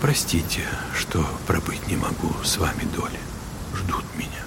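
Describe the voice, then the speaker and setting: An actor playing a gentle, wise elderly monk who counsels in a measured, softly admonishing way in a literary audio drama. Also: male voice, low-pitched, fairly even intonation, vocal fry, in a whisper. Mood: kindly, earnest.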